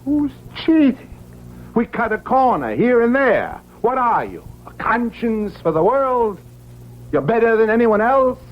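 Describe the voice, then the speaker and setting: An actor playing a pushy, defensive middle-aged garment boss who argues in rhetorical questions in a radio drama. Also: male voice, very high-pitched, sing-song, unhurried, full character voice. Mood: indignant. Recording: dull, slightly noisy vintage radio recording.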